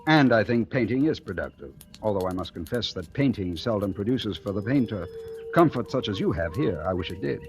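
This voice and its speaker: An actor playing a proud, romantic wandering painter in 1940s radio drama style, speaking in measured, poetic, literate phrases. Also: male voice, on the low side, lively intonation. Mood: cool, needling. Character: proud romantic itinerant painter, thoughtful and defiant. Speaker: lead actor and narrator. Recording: old radio recording with music.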